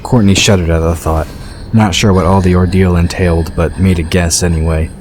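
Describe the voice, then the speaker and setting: A man's voice: deep voice, fairly even intonation, a little fast, darkish tone. A man reading scripted fantasy fiction as the narrator of a story. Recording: clear background ambience noise.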